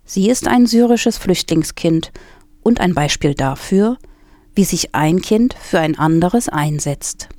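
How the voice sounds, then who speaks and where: A woman's voice: lowish voice, lively intonation, slow, dark tone, dry recording. Woman reading scripted items, dry sound. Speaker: quote reader and co-presenter. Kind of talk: scripted narration, reading quotations.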